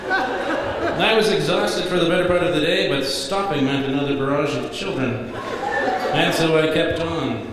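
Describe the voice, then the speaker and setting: A man in his thirties giving a best man's toast at a wedding reception, telling comic stories in a dry, deadpan way at a podium microphone. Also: on the high side, heavy background noise. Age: 30s. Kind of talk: wedding toast read from notes. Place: large banquet hall at a wedding reception. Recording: echoey banquet hall with reverb. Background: guests laughing.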